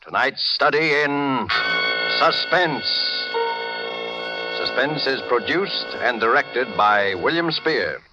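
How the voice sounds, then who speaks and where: A man's voice: medium pitch, animated, slow. An American man announcing a radio program in a formal, dramatic 1940s style. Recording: muffled old radio recording with music.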